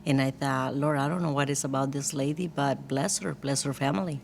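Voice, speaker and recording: A woman's voice: rumbling low, steady tone, fairly quick, gravelly, a little mellow, a little hiss or hum. A woman from Costa Rica with a Costa Rican Spanish accent, telling her personal story in a candid, chatty, lightly joking way as an interview guest. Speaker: guest, church worship team leader.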